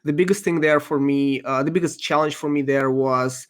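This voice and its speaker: A man whose first language is Russian, answering interview questions in a thoughtful, halting way with many ums and restarts. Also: a little high, fairly even intonation. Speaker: podcast guest, software engineer.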